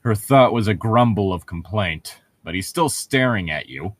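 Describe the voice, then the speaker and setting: A man's voice: lowish voice, lively intonation, normal speed, no room sound, clean studio sound. A man co-hosting a book read-aloud, casually reading and bantering, often swearing and interrupting himself.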